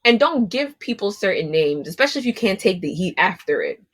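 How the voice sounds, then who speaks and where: A woman's voice: medium pitch, very animated, brisk, slightly rough. A young adult woman from Guyana co-hosting a podcast, talking bluntly and with plenty of profanity in casual chat. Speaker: podcast co-host.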